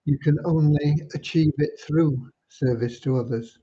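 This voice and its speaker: A man from Cornwall hosting an informal livestream, talking in an unhurried, earnest, slightly preachy way. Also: fairly high pitch, mellow, breathy edge. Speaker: livestream host and community leader. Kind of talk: informal livestream updates with devotional talk. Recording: dull lo-fi livestream audio.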